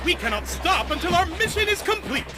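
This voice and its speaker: A performer plays a zealous adventurer in cartoon-like drama. The voice is high and swooping, grandiose and theatrical, with loud music behind it. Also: big pitch swings, a little fast, over a noisy crowd. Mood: rallying. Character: zealous, grandiose adventurer urging companions onward.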